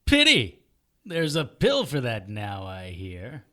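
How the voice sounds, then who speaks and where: A wisecracking, battered male private eye, played by an actor, tells his story in a radio drama. His delivery is forceful and hard-boiled, swinging widely in pitch with sardonic bite. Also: huge pitch swings, slow pace, heavily acted. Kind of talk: audio drama narration and acting.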